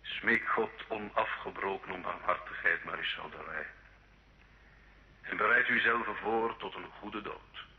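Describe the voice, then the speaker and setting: An actor playing a solemn church judge in an old radio drama, grave, formal and measured. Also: male voice, sits low. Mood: grave, stern. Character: solemn church judge pronouncing absolution and death sentence. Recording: muffled old radio recording.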